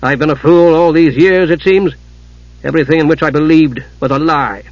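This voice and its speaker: A man's voice: on the high side, normal speed, a bit bright, no echo. A proud, troubled young knight of fantasy fiction, as a male narrator plays him. He speaks with solemn, honor-bound intensity. The recording is very dry and a little muffled at the top. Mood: bitter.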